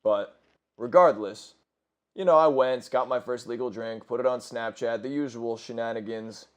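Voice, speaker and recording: A man's voice: mid-range voice, moderate pace. A man in his twenties telling crude, rambling stories with lots of swearing and self-corrections over gameplay. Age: 20s. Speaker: gaming commentator. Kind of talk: casual storytelling over gameplay.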